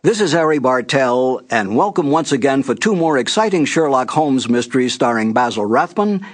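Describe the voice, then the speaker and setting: An older man hosting with a scripted, nostalgic introduction, telling it in a measured way with deliberate emphasis. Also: medium pitch, animated. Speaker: announcer and host.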